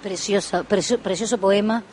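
A woman's voice: mid-range voice, steady tone, normal speed, darkish tone. Lady radio host chatting with guests, super affectionate and gushing, bit of noise behind her. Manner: effusive, affectionate, repeats and restarts phrases. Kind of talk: live radio interview.

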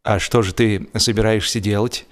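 A man voicing a tipsy, earnest young man with a halting, deliberate manner in a comic audiobook. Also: fairly low pitch, fairly even intonation, lightly acted.

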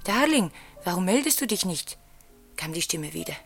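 An actress playing a wounded, emotional wife in a German radio play, dramatic, dropping into hushed tones. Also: female voice, lowish voice, big pitch swings, moderate pace, gravelly, faint music behind. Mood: subdued, hurt.

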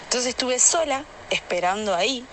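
A woman's voice: fairly high pitch, animated, normal speed, fairly bright tone, poor audio. An Argentine woman telling a personal anecdote casually in a frank, slangy way, recorded as a voice message. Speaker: listener sending an audio message. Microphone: phone voice message. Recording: voice message with faint background noise.

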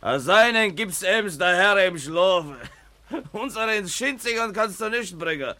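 An actor playing a swaggering, needling, hard-drinking machinist with a Silesian accent in a German radio drama. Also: male voice, high-pitched, lively intonation, unhurried, pushed and bright, full character voice. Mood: boastful, taunting.

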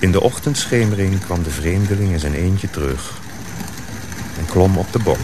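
A male narrator tells the story in a radio play. His voice sits a little low. The delivery is scripted narration between dramatic scenes. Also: normal speed, background noise.

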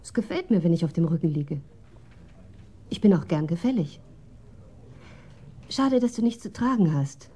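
Husky, dark-voiced coquettish young woman. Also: lowish voice, expressive, normal speed, long pauses. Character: coquettish, submissive, frank young woman assistant. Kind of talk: German radio crime drama acting.

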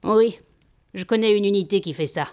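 A woman's voice: medium pitch, moderate pace, a little mellow, lightly acted. An actress playing a blunt-spoken, hospitable female master in an audio drama, speaking with familiar, instructive assurance. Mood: calm, instructive.

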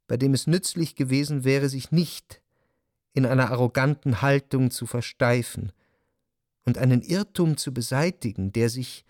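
A man's voice: mid-range voice, a little mellow, dry recording. Reflective male narrator, close and dry. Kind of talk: scripted literary narration in radio drama.

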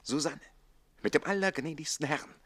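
An actor playing a jealous, witty, defiant servant in a theatrical radio play, with declamatory delivery that moves between hushed and forceful. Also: male voice, on the high side, normal speed. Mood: defiant, conspiratorial.

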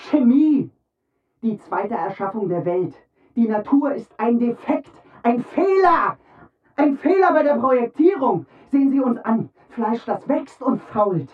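A woman's voice: on the high side, phone-quality sound. A woman playing an insistent engineer and inventor in a radio drama, declaiming with fervour about progress. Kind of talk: stage-like radio drama acting. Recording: roomy, distant, music behind.

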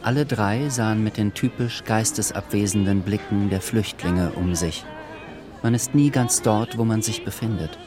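A man's voice: lowish voice, moderate pace, crowd noise. A man reading literary scripted narration as the narrator of a radio play, with music behind him.

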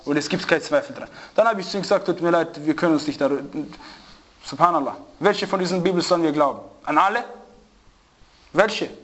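A man's voice: on the high side, fast talker. A man preaching to a small group in an informal sermon, telling an anecdote and mixing in Arabic phrases. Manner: storytelling, lively, mixes in Arabic phrases. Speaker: preacher giving a religious lesson. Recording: dull lo-fi recording.

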